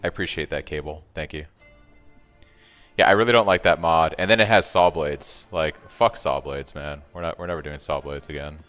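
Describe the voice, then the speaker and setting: A man with a very deep, smooth and soothing voice streams a game in relaxed, casual talk. He thinks aloud and answers his chat as he plays. His voice carries a long reverb, with faint music underneath. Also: moderate pace. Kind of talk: casual live-stream commentary.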